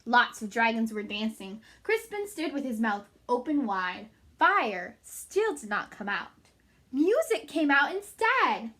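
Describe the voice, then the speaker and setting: A woman storyteller with a high, bright voice. She addresses young listeners in an animated, emphatic read-aloud style with chatty asides. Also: unhurried. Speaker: storyteller reading to children.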